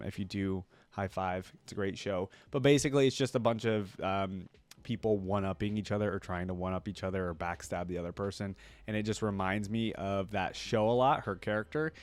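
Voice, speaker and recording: A man hosting a reaction video, chatting casually and thinking out loud with frequent restarts. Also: on the low side, dry recording. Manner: rambling, thinks aloud with restarts.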